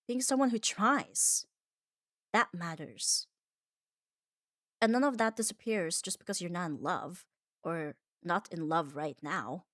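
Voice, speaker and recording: A woman giving a calm, reassuring ASMR comfort monologue in an unhurried, soothing way. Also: lowish voice, no room sound, studio quality. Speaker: ASMR audio creator.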